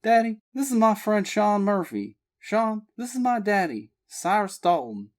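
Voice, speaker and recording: Brief: spirited young woman, high and emphatic, narrator doing her voice. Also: very animated, unhurried. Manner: emphatic, exclaiming. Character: spirited young Southern woman, outspoken and teasing.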